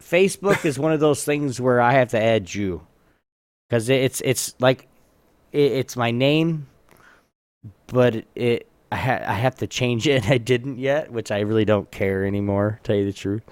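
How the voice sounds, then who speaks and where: A man's voice: mid-range voice, normal speed, a little mellow, clear, resonant tone, dry recording. A man hosting a podcast in a casual, rambling, laid-back and informal way. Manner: informal, laid-back, loses train of thought. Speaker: podcast host.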